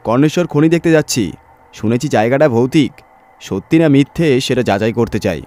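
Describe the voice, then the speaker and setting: A man's voice: mid-range voice, animated, a little fast, dark tone, voice pushed up, natural voice. A man voicing a bold, sceptical young adventurer in a horror story, eager and confident.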